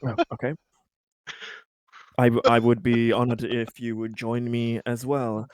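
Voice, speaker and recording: A man voicing a flustered, halting officer who is formal and anxiously polite to his leader in a tabletop game. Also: mid-range voice, level tone, darkish tone, no echo, close to an everyday voice. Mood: nervous deference. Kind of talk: improvised tabletop roleplay.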